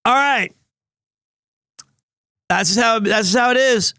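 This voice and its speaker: A man, chatting loudly and rambling to his stream viewers from a room at home. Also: very high-pitched, slow, clean tone, no echo, studio quality. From United States. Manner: loud, big voice, rambling with many restarts. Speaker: livestreamer. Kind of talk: casual chat with stream viewers.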